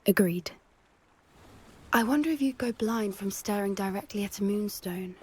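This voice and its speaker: A woman's voice: medium pitch, normal speed, very noisy, close to an everyday voice. A woman playing a curious, bookish, adventurous teenage witch in a video game, articulate and wry. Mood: thoughtful, wry. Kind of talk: video game companion dialogue.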